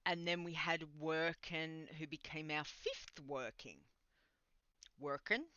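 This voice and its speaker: A woman's voice: deep voice, fairly even intonation, slow pace, sharp tone, clear, resonant tone, dry recording, lo-fi sound. A woman hosting a podcast in casual, rambling chat, sounding a bit congested and nasal. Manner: rambling and chatty, with laughs. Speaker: podcast host.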